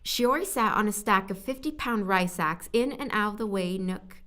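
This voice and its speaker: A woman with a medium-pitched voice reads scripted fiction as a narrator. Her delivery is halting, as she works through stumbles and repeats phrases for another take. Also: sharp tone. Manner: halting, stumbling over words and repeating takes. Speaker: voice actor recording as narrator. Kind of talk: scripted story narration with retakes.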